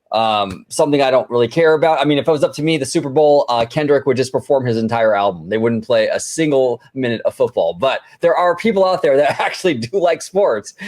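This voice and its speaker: A man hosting a casual financial news discussion, informal and buddy-like, repeating himself for emphasis. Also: medium pitch. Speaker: host.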